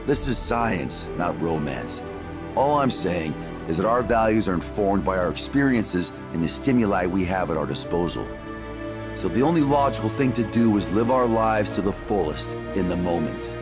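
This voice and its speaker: An actor playing a gruff, cynical man with a blunt, dismissive manner in a video game story. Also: low-pitched, lively intonation, moderate pace. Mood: dry, cynical. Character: gruff, cynical, blunt adult male fighter and scientist. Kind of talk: video game cutscene acting. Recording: loud music behind the voice.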